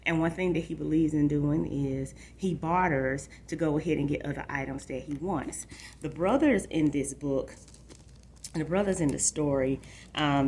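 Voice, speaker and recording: A woman's voice: low voice, darkish tone, a little hiss or hum. A woman hosting a book program for children, explaining things directly to young listeners and reading aloud. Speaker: library book show host. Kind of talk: informal book talk and read-aloud for children.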